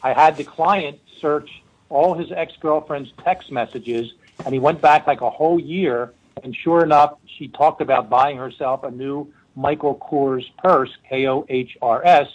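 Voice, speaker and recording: A man's voice: on the high side, measured pace, highs cut off. A middle-aged man with a Philadelphia accent, a forensic linguist telling stories in an interview, rambling with many fillers and restarts. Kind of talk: casual interview storytelling.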